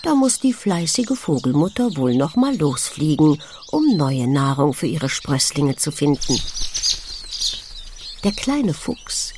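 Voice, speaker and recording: A woman reading a children's story in an expressive, hushed and wondering way, speaking directly to a small child. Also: low-pitched, takes it slowly, slight background noise. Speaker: audiobook narrator for young children. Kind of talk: gentle scripted children's story narration with questions to the listener. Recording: birdsong and clicks behind the voice. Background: forest sounds with chirping birds.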